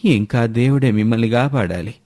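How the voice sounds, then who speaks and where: A man voicing a blunt old villager who gives grim warnings in slow, rustic speech for a moral story. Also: medium pitch, dark tone, no echo, studio quality, pitched below the performer's own voice, lightly acted.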